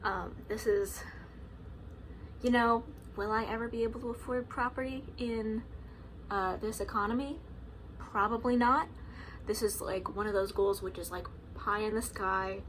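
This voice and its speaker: A woman's voice: mid-range voice, fairly even intonation, a little slow, fairly bright tone, slight background noise, poor audio. A woman in her early twenties talking casually to camera at home, chatty, with lots of "like" and "um" and frequent laughs. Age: 20s. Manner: chatty, lots of likes and ums, laughs often. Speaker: vlogger.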